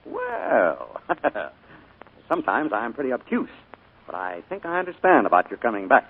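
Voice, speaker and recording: A man's voice: mid-range voice, wildly swooping pitch, moderate pace, breathy edge, heavily acted. A man playing a charming but sinister middle-aged uncle in a 1940s radio drama, his coaxing voice carrying a menacing undertone. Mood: jovial. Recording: narrow 1940s radio broadcast.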